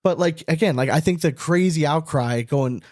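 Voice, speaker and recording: Rambling, animated man, fairly high voice. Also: moderate pace, no echo, clean studio sound. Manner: animated, rambling, swears for emphasis. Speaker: podcast co-host. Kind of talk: casual sports podcast chat.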